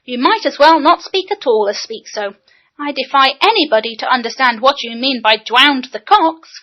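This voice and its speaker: A woman's voice: high-pitched, expressive, normal speed. A woman reading a fussy fretful lady. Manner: fussy, exclaiming. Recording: dull lo-fi recording.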